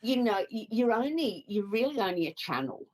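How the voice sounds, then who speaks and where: An Australian woman, a psychic medium guest, answering interview questions in a calm, measured way over a call. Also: a little high, low-quality recording.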